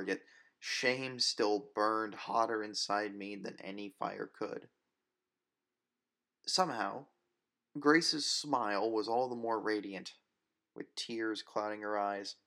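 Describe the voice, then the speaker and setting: A male narrator with a fairly low voice and plenty of gravelly creak. He delivers scripted first-person fiction in a dramatic storytelling style. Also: pushed and bright.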